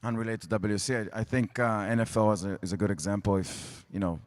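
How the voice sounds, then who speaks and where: A man with an Israeli accent speaking on a conference panel, halting, with frequent restarts and repeated words. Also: on the low side. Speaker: panelist, sports media executive. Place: conference stage. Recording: long reverb of a conference hall.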